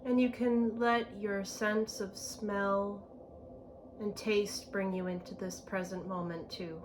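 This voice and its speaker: A woman leading a guided meditation in a gentle, unhurried manner, with spacious phrasing and long pauses. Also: mid-range voice, level tone. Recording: clear background ambient noise.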